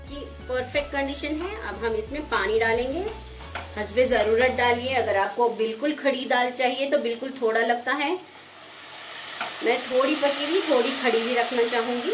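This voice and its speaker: A woman's voice: on the high side, normal speed. Woman giving home cooking steps. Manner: gentle, instructive, conversational. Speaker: home cook. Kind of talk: cooking demonstration voice-over. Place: home kitchen. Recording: roomy kitchen, frying noise. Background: sizzling frying pan.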